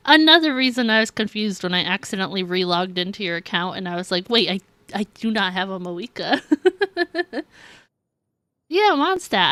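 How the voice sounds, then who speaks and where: A woman's voice: mid-range voice, sharp tone, smooth, dry recording. A woman livestreaming a video game, chatting casually and informally with viewers in a giggly, easygoing way. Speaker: live streamer. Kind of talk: casual gaming livestream chat.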